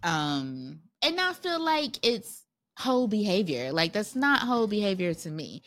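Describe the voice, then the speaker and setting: A woman co-hosting a podcast, relaxed and affirming, asking questions in a casual chat. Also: mid-range voice, measured pace, no echo. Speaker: podcast co-host.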